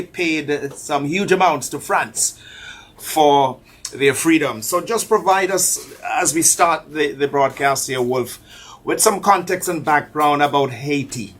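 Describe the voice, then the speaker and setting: A man hosting a radio talk show from the island, introducing a guest at a measured pace and repeating his key points. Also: mid-range voice, fairly bright tone. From Anguilla.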